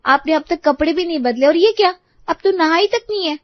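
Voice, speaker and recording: A woman voicing an eager young daughter-in-law in an animated moral story, emphatic and sweetly insistent. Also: very high-pitched, a little fast, no room sound, dull audio, pitched above the performer's own voice. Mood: scolding.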